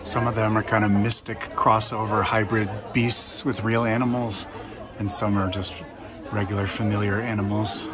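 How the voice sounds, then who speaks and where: Low-voiced man touring a busy market. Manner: calm, unhurried, sparse commentary. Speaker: travel vlogger. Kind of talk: relaxed walking-tour narration. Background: crowd chatter.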